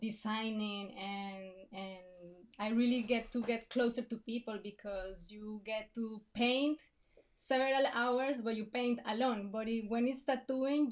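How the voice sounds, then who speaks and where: Hesitant woman guest from Colombia. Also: a little high. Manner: hesitant, short replies. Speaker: guest, artist and tattooist. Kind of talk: casual interview answers.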